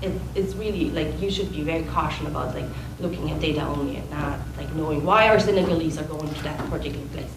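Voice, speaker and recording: A woman's voice: deep voice, noisy background. Distant woman in an echoey room. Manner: halting, many restarts and fillers. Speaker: audience member. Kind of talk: question-and-answer comment. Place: lecture room.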